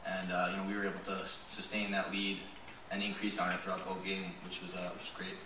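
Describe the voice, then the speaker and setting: Young male player, low monotone, brisk delivery; table mic caught from across a roomy hall with ambience. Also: background noise. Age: young adult. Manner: quick and flat, hedges with "kind of". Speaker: college lacrosse player. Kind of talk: postgame press conference answers. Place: press conference room. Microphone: table mic, recorded from a far camera. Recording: roomy echo from far off.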